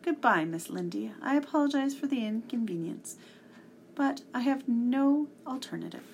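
A woman voicing a tall, smooth male henchman in a storybook, breezy and mock-polite with casual menace. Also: a little high, lively intonation, normal speed, darkish tone, slight background noise, pitched above the performer's own voice. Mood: brisk, businesslike.

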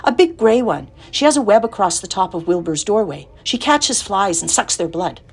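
A woman's voice: mid-range voice, expressive, normal speed, slightly rough. A woman voicing an earnest, chatty young farm girl in a storybook, innocent and matter-of-fact. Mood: eager.

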